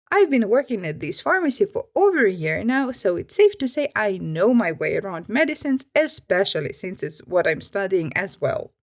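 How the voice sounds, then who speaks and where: A woman reading online stories aloud and adding her own comments. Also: on the high side. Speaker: storyteller.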